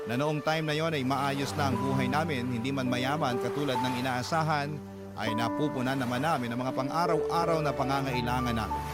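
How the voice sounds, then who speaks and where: A man reading his own life story aloud as a letter to a radio host, steady and confessional. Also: fairly high pitch. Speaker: letter-sender narrator. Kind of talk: scripted storytelling narration. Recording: narration over loud background music.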